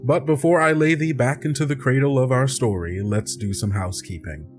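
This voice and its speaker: A man hosts and plays on a tabletop story podcast. His voice sits at a medium pitch and his delivery is conversational, with music playing softly behind him. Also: a little fast. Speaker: podcast host and player. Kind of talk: conversational actual-play podcast talk.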